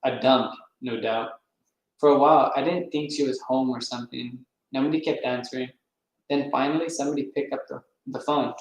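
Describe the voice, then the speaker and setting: A man's voice: medium pitch, fairly even intonation, fairly quick. A man reading a novel aloud, now and then stumbling over words and correcting himself. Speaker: reader. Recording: roomy echo.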